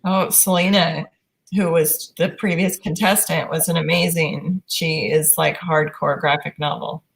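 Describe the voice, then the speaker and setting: A woman's voice: deep voice, steady tone, a little slow, vocal fry. A woman chatting casually on a panel about books, informal and conversational. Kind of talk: casual chat.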